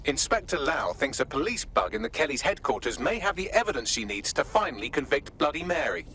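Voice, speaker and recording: A cheeky mission guide in a video game. His voice is high, crisp and bright, rattling along quickly with wry good cheer. Some noisy ambience sits behind him. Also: big pitch swings, heavily acted. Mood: brisk, informative. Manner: glib, wry, chipper. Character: cheeky, brisk male assistant guiding an operative.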